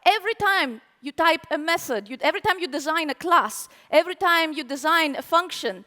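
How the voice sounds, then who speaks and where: A woman with a very high-pitched voice giving a lively, playful tech talk at a live conference, asking the audience questions. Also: fairly quick, big-room sound. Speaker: conference speaker.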